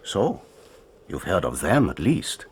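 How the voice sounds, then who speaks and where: An actor playing a stern, protective older father in a radio drama, grave and warning, with a husky voice. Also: low voice, sing-song, slow pace, slight background noise, heavily acted.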